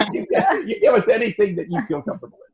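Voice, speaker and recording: A man leading a group warm-up on a video call, coaxing others to join in. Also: sits high, fast talker, slight background noise. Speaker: meeting activity leader. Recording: dull lo-fi video call audio.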